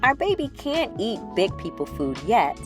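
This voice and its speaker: Casting: woman as eager young big sister for a children's storybook, expressive, childlike phrasing, music underneath. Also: on the high side, a little slow, voice pushed up. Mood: happy, proud. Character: eager little girl, a loving big sister narrating. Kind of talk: children's storybook read-aloud.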